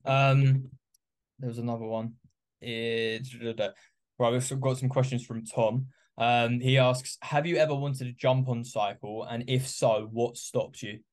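A man's voice: medium pitch, slow. A male podcast host in easygoing conversation, often humming along as he listens and reading out listener questions. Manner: relaxed, lots of listening hums. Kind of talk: casual chat.

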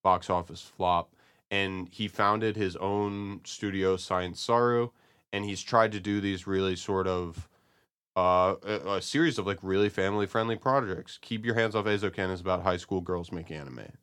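A man co-hosting a podcast, discussing and reviewing in a casual, halting, analytical way. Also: sits low, fairly bright tone, smooth. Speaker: podcast co-host.

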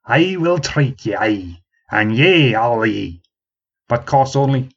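A man's voice: on the high side, sing-song, moderate pace, slightly rough, lo-fi sound, voice pushed up. A man voicing a boastful, stubborn old tavern storyteller who says ye, me and aye and holds forth grandly. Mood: proclaiming. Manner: dialect speech with ye, me and aye, proclaiming grandly.

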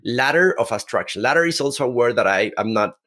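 Halting Mexican-accented podcast guest. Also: male voice, medium pitch, lively intonation, fairly bright tone. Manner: halting, many restarts, apologetic. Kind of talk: conversational interview.

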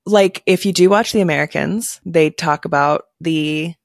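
A woman's voice: low-pitched, normal speed, creaky, no room sound, clean studio sound. A woman co-hosting a podcast, chatting casually and informally with plenty of slang. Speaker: podcast co-host. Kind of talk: casual chat about a spy case.

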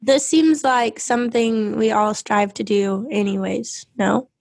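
Slightly hoarse woman hosting a podcast. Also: on the high side, fairly even intonation, unhurried, darkish tone. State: hoarse from illness. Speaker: podcast host. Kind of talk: casual panel chat.

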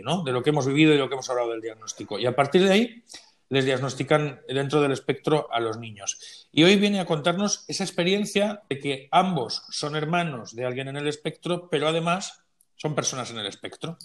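Conversational male podcast host. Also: mid-range voice. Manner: conversational, with frequent restarts. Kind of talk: podcast hosting.

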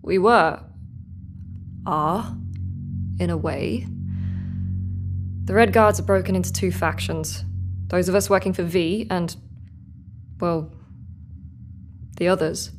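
An actress playing a guarded, steady rebel guard with a measured, terse delivery in a sci-fi audio drama. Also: female voice, on the low side, over music.

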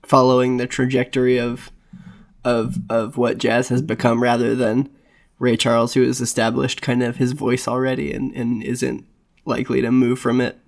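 A man's voice: mid-range voice, flat delivery, normal speed, creaky. A man co-hosting a podcast in casual chat, rambling with frequent restarts and filler words. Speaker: podcast co-host.

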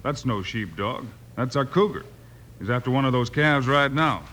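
An actor playing a steady, commanding frontier marshal in an old-time radio western, speaking in firm, clipped orders. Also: male voice, medium pitch, moderate pace, a little hiss or hum. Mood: alert.

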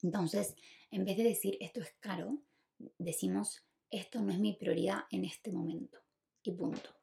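Chilean woman with very creaky voice. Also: mid-range voice, expressive, normal speed, dark tone. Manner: confiding, advice-giving, addresses listener directly. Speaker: podcast host. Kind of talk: casual reflective solo podcast talk.

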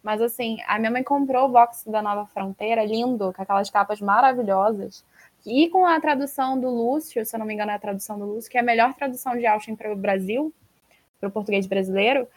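A woman with a Brazilian accent hosting a casual, informal book podcast in an animated, slangy way. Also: on the high side, fast. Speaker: podcast host. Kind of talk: casual chat about books.

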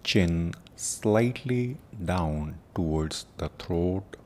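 A man with a very low voice guides a meditation at an extremely slow pace. He is solemn and deliberate, leaving long pauses between short, prayerful phrases. A faint rumbling noise sits behind the voice. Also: expressive, dark tone. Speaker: meditation teacher and podcast host.

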